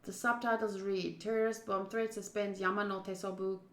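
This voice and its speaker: A woman livestreaming a visual novel playthrough, switching between casual chat and expressive reading of the game text aloud. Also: medium pitch, normal speed, echoey room. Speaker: streamer playing a visual novel.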